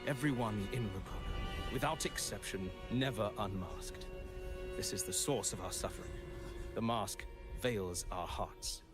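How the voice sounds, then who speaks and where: An actor voicing a serene yet fervent cult preacher in a video game, solemn and deliberate in phrasing. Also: male voice, fairly low pitch, loud music behind the voice, close to an everyday voice. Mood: solemn fervour.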